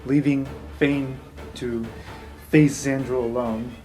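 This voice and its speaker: A man narrating an improvised tabletop fantasy game as game master, in an excitable, dramatic way. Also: mid-range voice, slow. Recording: roomy echo, distant, background music.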